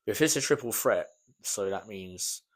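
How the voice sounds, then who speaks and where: A man's voice: fairly low pitch, expressive, unhurried. A young British man chatting casually as a podcast co-host, with slangy, informal speech and some mild swearing. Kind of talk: casual chat about wrestling.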